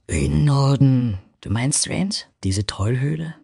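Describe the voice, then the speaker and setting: Guy doing a goblin warrior woman, husky, blunt and resolute, dry close sound, fantasy audio play. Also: medium pitch, expressive, slow, mellow, no echo, heavily acted. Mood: scornful. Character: female goblin barbarian, blunt, resolute, curious axe fighter. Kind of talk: scripted fantasy audio drama acting.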